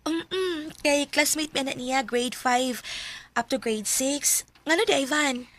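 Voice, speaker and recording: A gentle teenage girl in a Philippine radio drama, high and bright in voice. She has a Filipino accent and speaks with earnest, emotive feeling, as one who hides her love. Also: slow. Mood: helpful, teasing.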